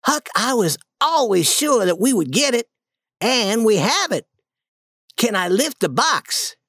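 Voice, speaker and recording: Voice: medium pitch, very animated, a little slow, a bit bright, no echo, clean studio sound, pitched above the performer's own voice. A storybook reader voicing a bold, eager young boy adventurer in a raised voice, confident and persuasive.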